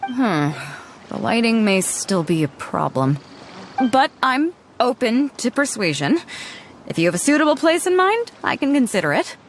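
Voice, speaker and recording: A self-assured female ship captain in a game, teasing and quick to laugh. Her voice sits a little high and rides up and down freely, with soft music behind. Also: sing-song. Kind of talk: game cutscene voice acting.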